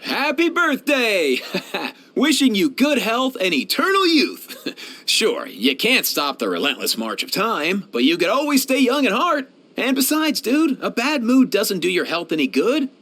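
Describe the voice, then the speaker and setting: Easygoing young male game vet, kind and slangy, lightish pitch, very animated. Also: a little slow, full character voice. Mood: cheerful, encouraging. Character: laid-back, kindly young male veterinarian. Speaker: video game character voice actor. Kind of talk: game voice lines, conversational.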